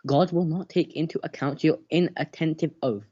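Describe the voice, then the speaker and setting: A man's voice: on the high side, fairly even intonation, normal speed, warm tone, husky, muffled recording. A man calling into a live-stream debate, defensive, who keeps trying to explain and asks not to be interrupted. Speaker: caller.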